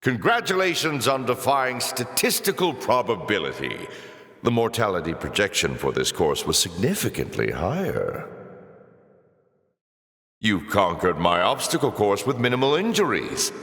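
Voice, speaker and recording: A man playing a suave, mocking demon lord in a video game, with a silky, pompous, sardonic delivery and drawn-out teasing. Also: fairly low pitch, big pitch swings, a bit bright. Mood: condescending, dry. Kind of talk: theatrical video game villain voice acting. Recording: echoing, cavernous reverb.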